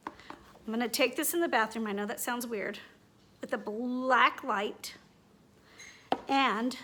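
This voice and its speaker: High, bright-voiced woman hosting for children. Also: slight background noise. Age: adult. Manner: asks listeners questions, addresses children directly. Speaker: read-aloud host for children. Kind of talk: children's read-aloud and chatty demonstration.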